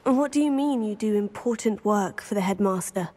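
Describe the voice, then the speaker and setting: An actress playing a polite, helpful teenage student adventurer in a video game, courteous and composed. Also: female voice, medium pitch, moderate pace, a little mellow, no echo, natural voice. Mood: inquisitive. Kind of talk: scripted game acting.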